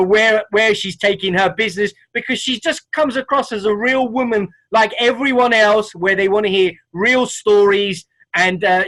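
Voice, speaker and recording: A man's voice: high-pitched, bright, forceful tone, rough recording. A man hosting an interview over a video call, rambling and filling his speech with lots of filler words. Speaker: interview host.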